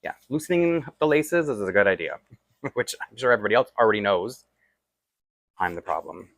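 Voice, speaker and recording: A man with a Toronto accent talks through a doll unboxing in a loose, rambling way, poking fun at himself as he goes. His voice sits low, and the sound is dry and close with no room echo. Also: lively intonation, a little fast. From Toronto, Canada. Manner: chatty and self-deprecating, rambling asides while handling a doll. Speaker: doll collector vlogger. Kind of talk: casual unboxing chat.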